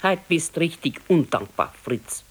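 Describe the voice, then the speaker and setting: An actress playing a practical, modest old wife with a Bavarian accent, admonishing and matter-of-fact in a radio play. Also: female voice, deep voice, big pitch swings, measured pace, husky, exaggerated voice. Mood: gently reproving. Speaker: radio drama actor. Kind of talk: 1970s naturalistic dialect radio play.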